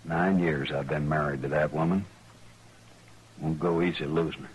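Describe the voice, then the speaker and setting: An actor playing a bitter, hot-tempered frontier farmer in a 1950s Western radio drama, with rustic, ungrammatical speech. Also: male voice, a bass voice, fairly even intonation, moderate pace. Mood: brooding. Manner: rustic ungrammatical drawl, accusing. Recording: noisy vintage radio recording.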